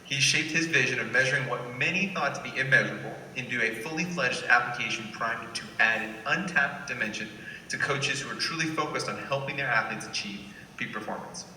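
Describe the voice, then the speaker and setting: A man reading a scripted, dramatic introduction for a speaker, in a polished and measured promotional delivery. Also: medium pitch, pushed and bright. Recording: echoey playback in hall, distant, faint noise.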